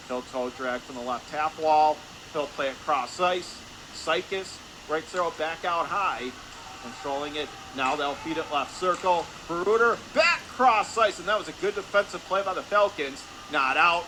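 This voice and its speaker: Guy doing radio hockey play by play, highish voice, clipped calls, loud arena noise behind him. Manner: clipped, rapid-fire phrases following the action. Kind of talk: radio sports play-by-play commentary. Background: noisy indoor ice rink.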